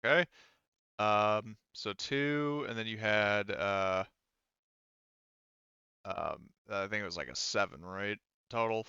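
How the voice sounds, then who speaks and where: A man acting as game master in casual tabletop play, talking in a halting way as he thinks aloud. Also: on the low side, vocal fry, pushed and bright, clean tone, dry recording, lo-fi sound.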